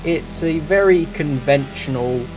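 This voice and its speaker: A man's voice: a little high, unhurried, noisy background. A man hosting a review channel, reading a scripted review.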